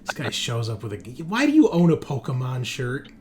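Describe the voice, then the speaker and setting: A man's voice: medium pitch, huge pitch swings, normal speed, over a noisy crowd. A man hosting a tabletop game as game master, bantering casually with teasing and swearing.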